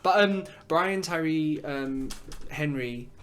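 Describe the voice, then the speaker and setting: A man's voice: on the high side, slow, a bit bright. A young man co-hosting a casual podcast, enthusiastic, repeating his praise and swearing casually. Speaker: podcast co-host. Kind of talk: casual chat.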